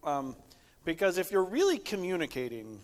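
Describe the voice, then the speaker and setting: Higher-voiced pastor in a reverberant hall. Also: male voice, wildly swooping pitch, normal speed. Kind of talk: casual theological discussion. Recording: echoey hall with long reverb.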